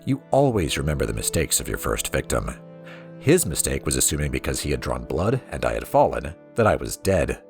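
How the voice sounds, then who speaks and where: Deep-voiced man reading with animated swoops. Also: fairly quick, vocal fry. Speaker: let's play host reading in-game books aloud. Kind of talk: expressive scripted narration, storybook reading. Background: music.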